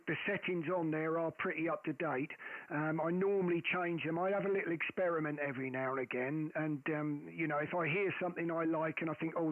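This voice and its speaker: A man with a loud, carrying voice chatting casually over amateur radio, rambling with many ums and restarts, speaking close into a boom mic. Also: no room sound. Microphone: boom mic close to the face. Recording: narrow phone-like radio audio.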